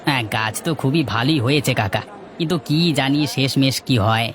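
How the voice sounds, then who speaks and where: A man's voice: medium pitch, brisk, a bit bright, a little hiss or hum, close to an everyday voice. A man voicing a brash, teasing village farmer with an offhand manner in a cartoon folk tale. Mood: teasing, casual. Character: brash, teasing village banana farmer, older brother type.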